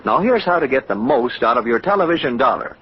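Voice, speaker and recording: A man's voice: mid-range voice, lively intonation, quick pace, husky. A man with an American accent reading a mid-century radio commercial in a polished, persuasive way, speaking directly to listeners. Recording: muffled old radio recording.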